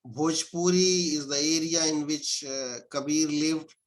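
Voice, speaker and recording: A man, an Urdu speaker, answering interview questions as a scholar and pausing often with fillers and restarts. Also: a little high, steady tone. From India. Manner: hesitant, many filler sounds and restarts.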